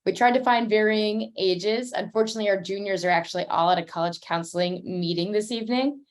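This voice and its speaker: A woman's voice: mid-range voice, brisk. A young woman working in admissions, hosting an online panel in a chatty, upbeat way with many fillers, heard over a video call. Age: young adult.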